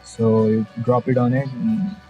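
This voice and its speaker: Chatty young Indian man with mellow tone. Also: medium pitch, flat delivery, measured pace, soft background music, low-quality recording. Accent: Bengali Indian English. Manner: chatty, jokey asides, many fillers. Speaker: YouTube tutorial host. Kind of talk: casual screen-recorded software tutorial. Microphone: budget external mic.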